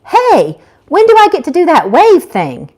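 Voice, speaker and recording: A woman's voice: very high voice, huge pitch swings, measured pace, a little mellow, pitched above the performer's own voice, full character voice. A woman voicing a cheeky, playful novice angel who is eager and teasing, in a storybook reading.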